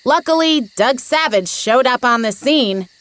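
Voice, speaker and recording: A woman voicing a brisk live news reporter in a cartoon, with a crisp announcer-like delivery. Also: a little high, expressive, a bit bright, dry recording. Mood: professional, urgent.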